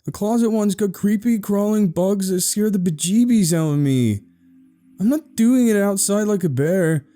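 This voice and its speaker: A man's voice: high-pitched, normal speed, dark tone, pitched above the performer's own voice, close to an everyday voice. A man voicing a frightened, stubborn little boy who pleads and protests indignantly in a horror story. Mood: pleading, urgent. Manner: pleading, indignant, childish exclamations.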